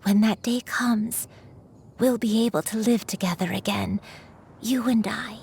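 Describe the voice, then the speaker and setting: A loving old grandmother, slow and soothing. Her slightly raised voice has a gravelly crackle, and she drawls each word warmly to a child. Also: on the high side, fairly even intonation. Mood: tender. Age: older. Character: gentle elderly grandmother, a crane in human form. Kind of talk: game cutscene voice acting.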